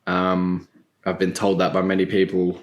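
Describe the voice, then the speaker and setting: A man's voice: low-pitched, monotone, moderate pace. A man in a casual group chat, talking in a blunt, rambling, slangy way. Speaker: podcast panelist.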